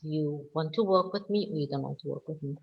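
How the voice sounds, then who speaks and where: A South African woman winemaker answering questions on a video call, speaking slowly and with firm emphasis. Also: low-pitched, gravelly, dull audio. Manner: slow, deliberate, emphatic. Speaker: winemaker guest.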